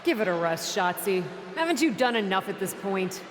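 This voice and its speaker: A woman's voice: medium pitch, big pitch swings, moderate pace, big-room sound, background noise, lightly acted. An actress voicing a smug, sarcastic female wrestler who is stepping down as general manager, in a video game cutscene.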